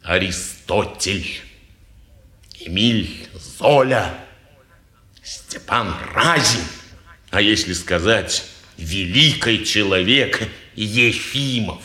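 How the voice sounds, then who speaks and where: A slow, self-important townsman of old Russian stage drama. His sharp, forceful voice swings up and down as he pronounces weighty opinions, in a roomy old theatre recording. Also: fairly low pitch, expressive, full character voice. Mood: musing, mocking. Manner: ponderous, self-important, sententious. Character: pompous, touchy, philosophizing petty townsman.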